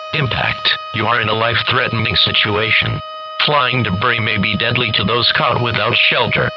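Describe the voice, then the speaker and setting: A man's voice: medium pitch, natural voice. A man voicing a formal, stilted weather radio announcer reading a scripted emergency bulletin. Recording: dull lo-fi radio broadcast, clear noise.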